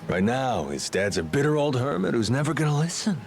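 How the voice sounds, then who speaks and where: A man's voice: mid-range voice, very animated, brisk, creaky, a little mellow, a little hiss or hum. An actor playing a brash, cocky but sympathetic roguish man in a video game. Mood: challenging.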